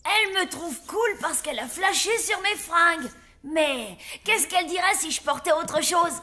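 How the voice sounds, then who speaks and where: High-pitched, slangy zombie boy. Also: lively intonation, moderate pace, bright, forceful tone, exaggerated voice. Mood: cocky. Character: zombie boy, lovestruck, boastful, awkward young son. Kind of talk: French cartoon dubbing.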